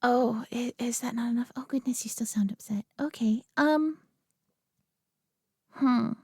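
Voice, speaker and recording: A young woman playing a sweet, nervous girlfriend who talks softly to the listener, giggling and stammering often. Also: a little high, steady tone, takes it slowly, breathy edge, dry recording, clean studio sound, heavily acted. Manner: giggly, stammering, soft-spoken. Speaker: audio roleplay performer. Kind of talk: girlfriend roleplay addressing the listener.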